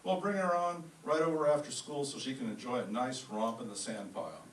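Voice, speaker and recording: A man playing a brisk, courteous department store owner in a radio comedy. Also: medium pitch, natural voice. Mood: eager, friendly. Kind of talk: old-time radio comedy acting. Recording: echoey room, distant mic, faint noise.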